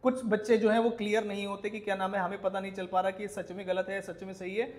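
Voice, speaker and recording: A man's voice: high-pitched, little pitch movement, fast talker. An Indian man who teaches, ranting indignantly to viewers in an emphatic, scolding way with rhetorical questions. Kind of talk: indignant video rant to viewers. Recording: echoey room.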